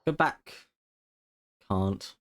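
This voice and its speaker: A game streamer giving casual, halting reaction commentary in muttered asides.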